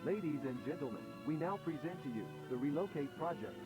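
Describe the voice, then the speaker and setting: A man's voice: a little high, animated, fairly quick, natural voice, rough recording. A man playing a cheerful corporate training tape announcer, formal and presentational in a scripted promotional horror video. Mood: upbeat, formal. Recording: loud music behind. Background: loud music.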